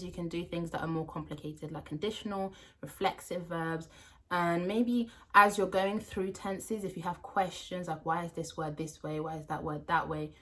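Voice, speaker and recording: A young woman from France with a London accent and French as her first language, giving language-learning tips to camera in a chatty, animated way. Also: fairly low pitch. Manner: chatty and animated, with playful asides. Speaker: language vlogger.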